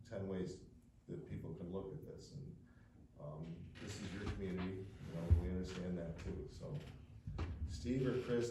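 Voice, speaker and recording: A man's voice: deep voice, dark tone. A man presenting a development plan at a public meeting, talking in a rambling way with many fillers and restarts. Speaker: developer's representative. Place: township meeting room. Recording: echoey room, distant mic, faint hiss.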